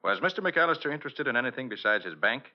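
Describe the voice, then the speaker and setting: An actor playing a brisk, commanding private detective, inquisitive and authoritative, in 1940s radio drama style. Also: male voice, medium pitch, big pitch swings, natural voice. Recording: dull old radio recording.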